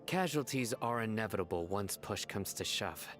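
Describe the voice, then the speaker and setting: Low, stern, principled lawman. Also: expressive, fairly bright tone. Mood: serious, principled. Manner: grave, measured, formal. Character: stern male former law enforcer, adult, principled and cautious. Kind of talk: dramatic video game dialogue. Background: music.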